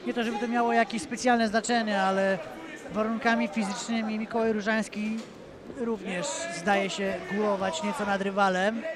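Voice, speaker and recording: A man doing calm, measured live commentary on a cage fight, rising sharply at big moments. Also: sits high. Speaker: play-by-play commentator. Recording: loud arena crowd behind. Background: crowd.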